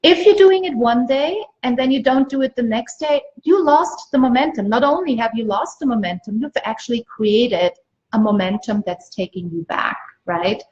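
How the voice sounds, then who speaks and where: A woman from Austria, a native German speaker, speaks as a business coach giving an emphatic, repetitive motivational pitch on a livestream. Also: normal speed, a little mellow. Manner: emphatic, repeats phrases for stress. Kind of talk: motivational live sales pitch to viewers. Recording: dull lo-fi livestream audio.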